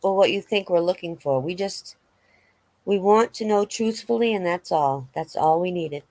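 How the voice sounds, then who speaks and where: A woman reading the part of a calm, reassuring law officer in a dramatic reading of a witness interview. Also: on the low side, normal speed, no room sound, close to an everyday voice. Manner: matter-of-fact, reassuring.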